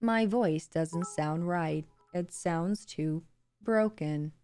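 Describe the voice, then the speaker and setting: A young woman streaming from her room late at night, tired and a little raspy, chatting casually and thanking viewers on a close studio mic. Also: fairly low pitch, very slow, mellow, soft background music. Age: young adult. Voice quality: slightly raspy today. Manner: chatty, bubbly, laughs easily. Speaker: live streamer. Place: home streaming room, indoors. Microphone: boom studio mic close to mouth.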